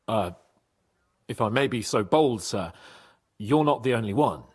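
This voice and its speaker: A man playing a bold, polite young nobleman in a video game, with a husky voice and an earnest, deferential way of talking. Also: mid-range voice, expressive, measured pace. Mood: eager, respectful. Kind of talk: video game cutscene acting.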